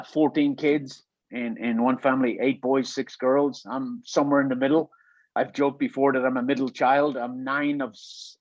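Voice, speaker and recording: A man's voice: mid-range voice, no echo, dull audio. Irish guy in his 50s, kinda american too, lots of "i, i, i" restarts, dry dullish recording. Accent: Irish with some American. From Ireland. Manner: halting, repeats words and restarts often. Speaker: guest. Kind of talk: relaxed storytelling interview.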